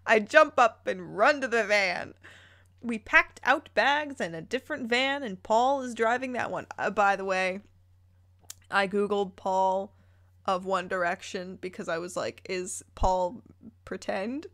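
A woman's voice: medium pitch, measured pace, a bit bright, dry recording, clean studio sound. A woman reading fan fiction aloud, dropping casual, incredulous, playful asides as she goes.

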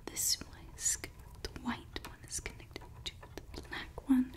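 A woman playing a fussy, playful female evil scientist in an ASMR roleplay, whispering softly and close to the microphone. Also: high voice. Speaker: ASMR creator.

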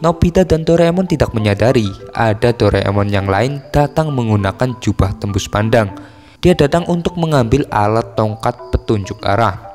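A man's voice: medium pitch, a little mellow. A man casually narrates a film plot from a script as a recap host. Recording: long reverb tail with music underneath. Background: background music.

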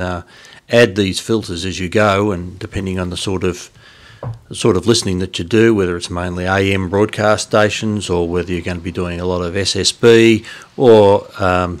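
Deep-voiced Australian man explaining. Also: a bit bright. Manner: methodical, explanatory. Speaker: host of a shortwave radio channel. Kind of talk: informal instructional voice-over.

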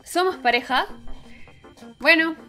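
A woman's voice: very high-pitched, takes it slowly, sharp tone. Playful young woman chatting over music. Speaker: co-host of a video podcast. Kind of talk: casual chat. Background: background music.

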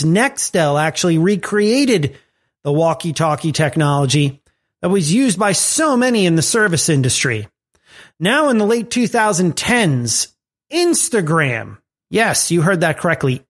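A man hosting a tech and marketing podcast, reading a scripted segment with lively rising and falling emphasis. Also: high-pitched, moderate pace. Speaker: podcast host.

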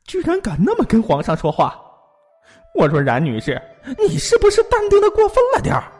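An actor playing an excitable, exasperated young man in an audiobook drama, exclaiming dramatically. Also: high-pitched, a little fast, slightly rough, long reverb tail, soft background music, exaggerated voice. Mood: shaken, incredulous.

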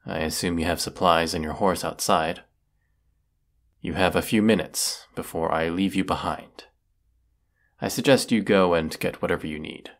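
A man playing a brooding villainous wizard in a fantasy audio roleplay, stern and formal in his deliberate delivery. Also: sits low. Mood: curt, impatient. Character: brooding villainous wizard of the woods, secretly lovelorn.

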